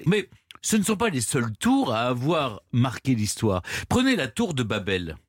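Lively husky man telling history on radio. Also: medium pitch, normal speed, dry recording. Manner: lively, animated storytelling. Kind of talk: radio history storytelling with banter. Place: radio studio. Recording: dry studio sound with faint music behind.

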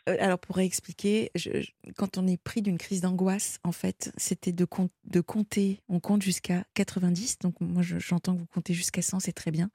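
A woman hosts a late-night call-in show from a dry studio microphone, gently leading her caller. Her voice is a little low, mellow and husky. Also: level tone, normal speed, hardly any pauses, no room sound. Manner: gentle, hesitant, fills in for the caller. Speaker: radio host. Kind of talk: late-night phone-in radio.

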